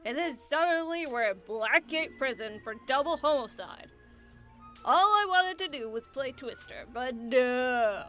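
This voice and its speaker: A woman's voice: high-pitched, expressive, unhurried, bright, forceful tone, soft background music, full character voice. A woman playing a whiny, aggrieved supervillain who complains in a comedic radio play. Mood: whiny complaint.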